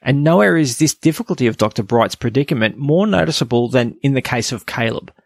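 A man's voice: mid-range voice, expressive, a little fast, gravelly, dry recording. A man with an Australian accent hosting a podcast, reading a scripted review. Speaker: podcast host.

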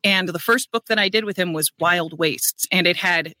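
A chatty woman with a low, boyish voice that has a bright, forward edge, talking fast and laughing often as a podcast guest. She is recorded close on a dry mic. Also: no echo. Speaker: audiobook narrator, guest. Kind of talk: casual podcast chat.

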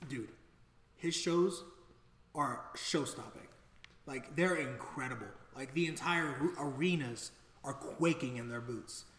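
A young adult man co-hosting a podcast in casual chat, excitable and rambling, swearing freely. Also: medium pitch, measured pace. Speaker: podcast co-host. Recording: echoey room with long reverb.

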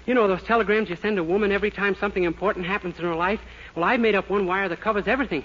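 An actor playing a naive, earnest, rather dim young clerk in 1940s radio sitcom style. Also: male voice, high voice, rapid-fire. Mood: earnest, cheerful. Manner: earnest, innocent. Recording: dull old radio recording, loud hiss, clicks.

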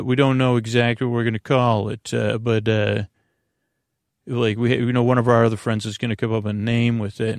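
A man hosting a bedtime podcast in a rambling, halting, self-deprecating monologue, repeating himself and trailing off mid-phrase. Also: mid-range voice, gravelly, no room sound, clean studio sound.

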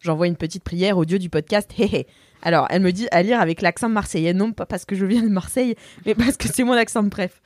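A woman hosts a casual podcast chat, chatty and quick to laugh as she reads listener messages aloud. Her voice sits at a middle pitch, close and dry with no room sound. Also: darkish tone, no echo. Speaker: podcast host.

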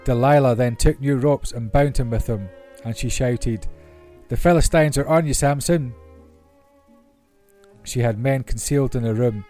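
A man's voice: mid-range voice, a little slow, dark tone. A man reading Bible stories aloud as scripted narration. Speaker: Bible reader. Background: classical orchestral music.

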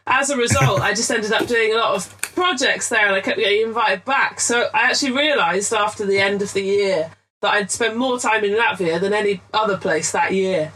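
A woman's voice: mid-range voice, a little fast, few pauses, a bit bright, poor audio. Woman chatting on a podcast, rambly stories, laughs a lot, faint hiss behind. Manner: chatty, rambling storyteller who laughs often. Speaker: guest artist. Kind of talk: casual podcast chat.

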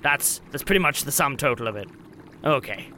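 A man's voice: mid-range voice, animated, a little fast, pushed and bright, slight background noise. A man improvising in-character banter as a player in a tabletop game, wry and sarcastic. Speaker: tabletop role-play player.

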